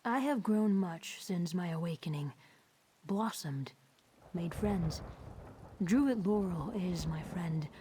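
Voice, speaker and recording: A woman voicing an innocent, newly awakened plant spirit in a video game, with breathy, simple, formal phrasing. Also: lowish voice, lively intonation, slow pace, dark tone, exaggerated voice. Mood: sad, troubled. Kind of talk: scripted video game dialogue.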